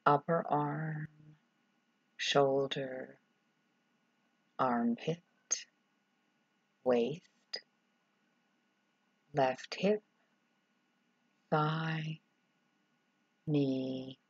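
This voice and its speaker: A woman leading a guided meditation as a relaxation instructor, calm and soothing, in short measured phrases with long pauses and a husky, breathy voice. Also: sits low. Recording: dull, roomy, echoing sound.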